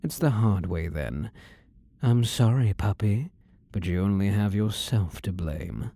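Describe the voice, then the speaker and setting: A man's voice: fairly low pitch, animated, measured pace, mellow. A man playing a possessive demon-possessed ex-boyfriend in a scripted roleplay audio drama, condescending and teasing with coaxing endearments. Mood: ominous, disappointed. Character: controlling, possessive demon-possessed ex-boyfriend, smug and menacingly tender.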